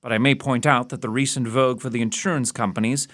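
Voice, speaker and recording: An actor playing a keen, commanding male detective in a period audio mystery, speaking in a measured, formal, authoritative way. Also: medium pitch, steady tone, fairly bright tone, natural voice. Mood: cool, probing.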